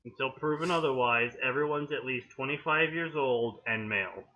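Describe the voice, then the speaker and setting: A man's voice: medium pitch, moderate pace. A man co-hosting a fanfiction-reading stream, rambling with dry, wry jokes in casual banter. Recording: dull lo-fi mic.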